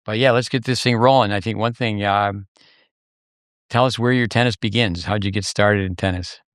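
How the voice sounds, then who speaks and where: A man's voice: on the low side, steady tone, fairly bright tone, clean tone, no echo, studio quality. A man hosting a tennis podcast, joking loosely with his guest and drawing out his questions with fillers. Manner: joking, folksy, drawn-out filler. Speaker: podcast host. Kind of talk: casual interview hosting.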